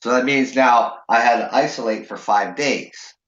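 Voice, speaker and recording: A man's voice: medium pitch, normal speed, fairly bright tone, echoey room, dull audio. A man from Canada hosting a casual call-in show, talking in a rambling way with lots of fillers while nursing a sore, raw throat. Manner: rambling, many fillers and restarts. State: sore, raw throat, holding back a cough. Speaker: show host.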